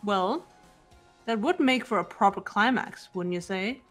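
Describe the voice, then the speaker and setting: A woman plays a composed, resolute teenage girl detective in a game scene. Her mid-pitched delivery is measured and quietly challenging, with faint music underneath. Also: lively intonation. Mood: calm, determined. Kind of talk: character voice acting in a game fan dub.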